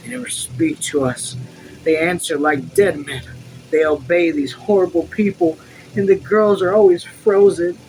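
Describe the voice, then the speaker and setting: An anguished lieutenant in a storybook war acted out by a man, emotional and near breaking point. His fairly high voice sits over clear background noise. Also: sing-song, a little slow, few pauses, fairly bright tone, exaggerated voice, low-quality recording. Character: overwrought young lieutenant, anguished, homesick and quick to rage.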